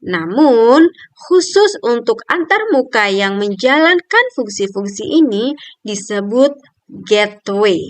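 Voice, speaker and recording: A woman's voice: a little high, sing-song, slow pace, no echo. A young adult woman reading a scripted article review in a careful, point-by-point manner, like a student presenter.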